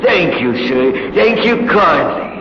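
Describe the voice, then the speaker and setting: An actor playing a sly, bullying Cockney spaceship mate in a 1950s radio drama, mocking and slangy with mock politeness. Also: male voice, a little high, big pitch swings, slow. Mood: businesslike, oily. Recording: noisy, dull old 1950s radio recording.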